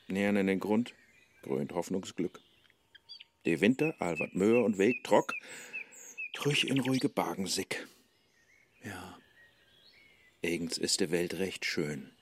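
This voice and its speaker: World-weary yearning scholar, slow theatrical delivery, low german accent, radio play, slight background noise. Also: male voice. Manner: declamatory, melancholy. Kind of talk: rhymed radio play verse.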